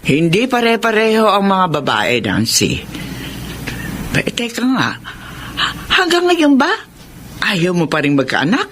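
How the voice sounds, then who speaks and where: A woman's voice: medium pitch, big pitch swings, a little slow, pauses often, fairly bright tone. A Filipino woman playing a nagging, concerned older mother who scolds, in a radio drama. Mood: exasperated, concerned. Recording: faintly noisy radio drama recording.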